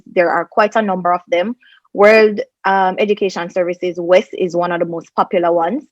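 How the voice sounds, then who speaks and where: A woman gives methodical, step-by-step advice as a panel consultant. Her voice sits at an average pitch and is recorded dry, through slightly muffled video-call audio. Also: no room sound. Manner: methodical, step-by-step, emphatic enumerating. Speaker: immigration consultant, panel guest. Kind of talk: explanatory advice in an online panel. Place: small indoor room on a video call.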